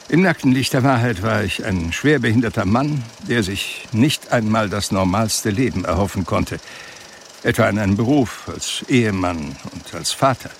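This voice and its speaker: A man's voice: lowish voice, slight background noise. An actor playing a blind, sensitive, melancholic man who recalls his life in reflective, literary audio drama narration. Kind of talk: scripted first-person audio drama narration.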